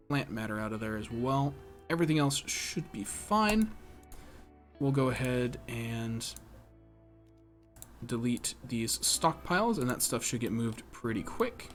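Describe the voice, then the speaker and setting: A man's voice: medium pitch, fairly bright tone. A man giving casual live gameplay commentary, chatting with viewers and thinking aloud in a self-deprecating way. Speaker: game streamer. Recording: music behind the voice.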